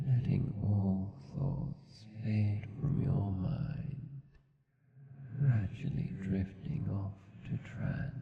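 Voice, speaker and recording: An Australian man playing a gentle, affectionate vampire husband in an ASMR roleplay, coaxing and hypnotically soothing in a measured, commanding way. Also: lowish voice, creaky, full character voice. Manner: soothing, coaxing, measured, sometimes dropping to a whisper. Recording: muffled, roomy sound with long reverb.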